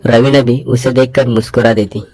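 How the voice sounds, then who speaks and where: A man narrating a bedtime story in a mid-range voice, dramatic and measured, with loud music running beneath every line. He lifts his voice when he speaks for the young hero. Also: steady tone. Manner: dramatic storyteller, voices the hero's lines higher.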